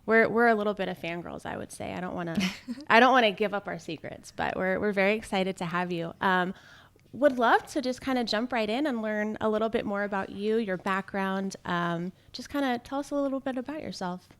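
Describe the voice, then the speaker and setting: A woman's voice: medium pitch, a little fast, clean tone. Enthusiastic woman hosting a podcast. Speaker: podcast co-host.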